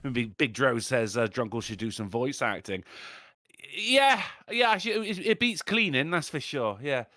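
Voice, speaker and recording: Lively, jokey man chatting. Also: medium pitch, normal speed, dry recording, studio quality. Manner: chatty, jokey, reads chat comments aloud. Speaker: podcast co-host. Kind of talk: casual livestream chat.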